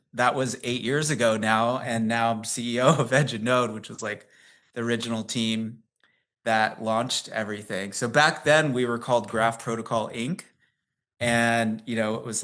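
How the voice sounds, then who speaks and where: A man's voice: mid-range voice, steady tone, measured pace, fairly bright tone. A man in his fifties without an accent, a guest telling long anecdotes in a casual interview, full of fillers like "like" and "um". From United States. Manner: chatty, rambling anecdotes with frequent fillers and laughs. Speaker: guest, tech company CEO.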